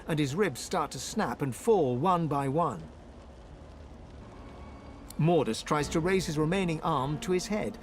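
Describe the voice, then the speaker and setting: An actor narrating a dark fantasy video game in a solemn, vivid storytelling style. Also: male voice, fairly high pitch, slight background noise. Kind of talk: scripted second-person game narration.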